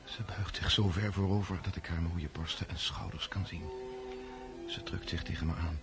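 A young nobleman with a low voice, full of self-doubt and romantic torment. He is hesitant and introspective, as if thinking aloud in a radio drama. Faint music sits behind him. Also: gravelly. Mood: tense longing. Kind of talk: radio drama acting with inner monologue.

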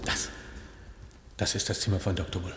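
An actor playing a wry, nervous professor in a German radio play, speaking dryly and stammering with "äh" when flustered. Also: male voice, sits low, fairly even intonation, moderate pace, fairly bright tone, a little hiss or hum. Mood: hushed. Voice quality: gravelly. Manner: dry and cautious, flustered and stammering under pressure. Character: nervous, wry older male professor conspirator. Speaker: professor and undercover policeman.

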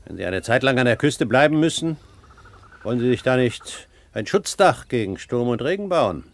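An actor in a German radio play voicing a suave, calculating pirate captain, polished, measured and coolly authoritative. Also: male voice, mid-range voice, big pitch swings. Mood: smooth, persuasive.

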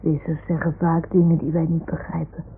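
A woman playing a small, earnest boy in an old radio drama, speaking slowly in simple childlike phrases. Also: sits low, completely flat delivery, creaky. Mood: tender, hushed. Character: small earnest boy talking to his toy dog. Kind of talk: 1960s Dutch radio drama acting. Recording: noisy, muffled old radio recording.

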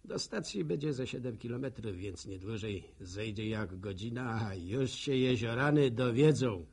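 Gravelly, husky village postman and gossip. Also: mid-range voice, expressive, darkish tone. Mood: wry, musing. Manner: folksy, rhetorical, unhurried storytelling. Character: nosy, talkative village postman, good-humoured gossip. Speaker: narrator of a radio drama. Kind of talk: folksy monologue in a rural radio drama.

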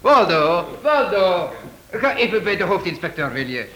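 Casting: effusive theatre manager in a radio play, bright high voice, fussy and eager to please. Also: male voice, very animated, normal speed, poor audio. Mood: flustered, deferential. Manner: effusive, peppers talk with Spanish interjections. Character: excitable Spanish-speaking theatre boss, fussy and obliging. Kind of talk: Dutch radio drama acting.

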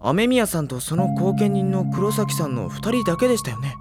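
Teenage schoolboy lead and narrator, acted, audio drama: courteous, matter-of-fact, reflective, set over clear background music. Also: mid-range voice, very animated, normal speed, lightly acted. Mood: reasoning. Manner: polite, restrained, matter-of-fact. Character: polite, level-headed teenage schoolboy, quietly reflective narrator. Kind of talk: Japanese audio drama acting and first-person narration. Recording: studio drama with music behind.

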